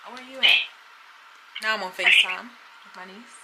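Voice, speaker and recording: A woman's voice: mid-range voice, normal speed, very noisy. A woman in her thirties chatting candidly to the camera in a casual vlog, often laughing. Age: 30s. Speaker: vlogger.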